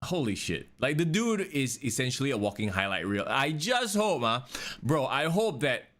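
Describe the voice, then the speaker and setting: Male podcast co-host, casual, swears freely, lively pitch. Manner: animated, swears casually, quick reactions. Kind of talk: casual wrestling chat.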